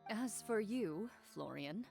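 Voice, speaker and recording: A woman playing a composed, honourable hunter and duelist in a video game, speaking in a measured, formal way. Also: medium pitch, animated, creaky, a little mellow, faint music behind. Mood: magnanimous.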